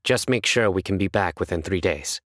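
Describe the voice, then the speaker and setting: Low, solemn young enforcer. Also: male voice, fairly bright tone, dry recording, studio quality. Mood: softened, sincere. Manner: measured, formal, deliberate delivery. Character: stern, principled young male judge and enforcer, solemn and reserved. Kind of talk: scripted video game character voice lines.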